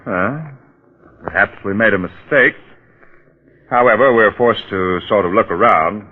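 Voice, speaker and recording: An actor playing a polite, persistent police detective in 1940s radio drama, probing and courteous. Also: male voice, lowish voice, measured pace, breathy edge. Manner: probing, courteous, hesitant phrasing. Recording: muffled, narrow-band old radio recording.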